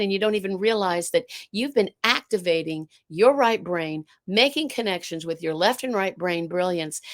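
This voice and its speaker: A woman guest and art teacher with a low alto voice, talking in a casual conversation, animated and full of restarts. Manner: animated, storytelling, frequent restarts.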